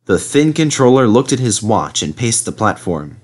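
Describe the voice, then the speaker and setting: A man reading a children's story aloud as an expressive narrator. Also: fairly low pitch, moderate pace. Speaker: storybook reader.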